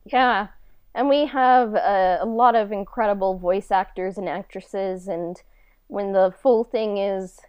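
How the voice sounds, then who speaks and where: A woman's voice: mid-range voice, a little slow, clean tone, no room sound, highs cut off. A woman co-hosting a podcast in casual chat, informal and self-effacing in the way she talks. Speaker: podcast co-host and audio drama creator.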